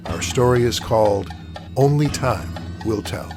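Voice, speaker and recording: A man narrates the opening of an audio play. His voice is mid-pitched and scripted, with music playing clearly behind it. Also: measured pace, a little mellow. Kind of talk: scripted narration, story introduction.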